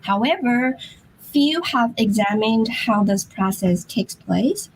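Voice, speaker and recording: A woman presenting her research remotely at a conference, speaking slowly and haltingly with a hoarse voice. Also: on the high side, lively intonation, a little hiss or hum, low-quality recording. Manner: slow, halting, apologetic. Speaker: academic presenter.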